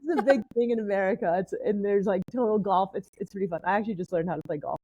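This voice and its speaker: A chatty woman from San Diego tells stories as a podcast guest. Her voice is warm and mellow at a middle pitch, and it rambles along full of casual fillers. The recording is dry and close, with no room sound. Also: very fast, breathy edge, no echo, muffled recording. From San Diego, California. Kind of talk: casual conversational storytelling.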